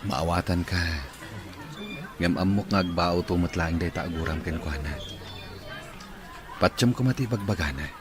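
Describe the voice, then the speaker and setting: A man's voice: deep voice, normal speed, long pauses, close to an everyday voice. A man playing a devoted, reassuring boyfriend in an audio romance drama. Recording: music and outdoor crowd bustle behind.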